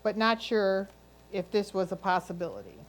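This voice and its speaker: A woman's voice: medium pitch, sing-song, moderate pace, slight background noise, rough recording. A woman reading written photo critiques aloud at a club competition. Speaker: reader of judges' comments.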